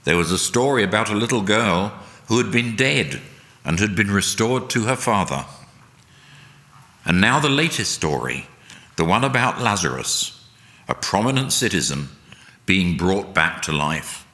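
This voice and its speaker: Slow, bright-voiced man in a reverberant hall. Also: on the low side, very animated. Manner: deliberate, emphatic oratory. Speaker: reader at a church service. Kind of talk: solemn dramatic scripted narration.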